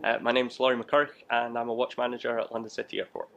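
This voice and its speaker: A man's voice: mid-range voice, little pitch movement, fast, gravelly, a bit bright, highs cut off. A man in his thirties, an air traffic control manager, explaining his work in an interview.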